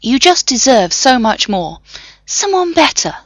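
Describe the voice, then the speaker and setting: A woman voicing an alien impostor posing as a man, speaking with eerie, overly polite calm in a very high voice. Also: a little slow, no echo, full character voice. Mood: placid.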